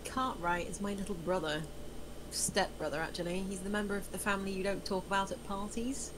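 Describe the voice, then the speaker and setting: A woman's voice: medium pitch, fairly even intonation, brisk, noisy background, close to an everyday voice. A woman playing a skeptical, exasperated character in a screenplay table read. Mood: guarded. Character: skeptical, exasperated woman pulled into a crime scheme.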